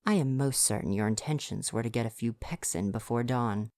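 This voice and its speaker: A man's voice: medium pitch, brisk, a little mellow, no room sound, studio quality, natural voice. A man playing a prim, devoted royal butler with formal, fretful diction in an ASMR roleplay. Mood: scolding, prim.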